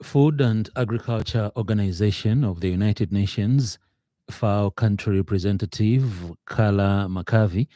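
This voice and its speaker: A man with a Zambian accent reading a formal radio news bulletin in a studio, with a measured delivery and the odd filler. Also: fairly low pitch, warm tone, no room sound. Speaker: newsreader.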